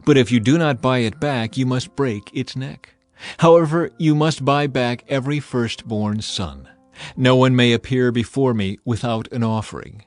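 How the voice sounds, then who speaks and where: A man's voice: medium pitch, moderate pace, no echo, soft background music, lightly acted. A man voicing a solemn, commanding God in dramatized scripture, grave and declamatory. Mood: stern, commanding. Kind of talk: dramatized audiobook acting.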